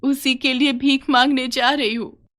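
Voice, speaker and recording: A woman's voice: high-pitched, flat delivery, a bit bright, voice pushed up. A woman voicing a sorrowful old village grandmother, tender and plaintive, in a children's story. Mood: weary, gentle. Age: older. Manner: drawn-out, plaintive pleading.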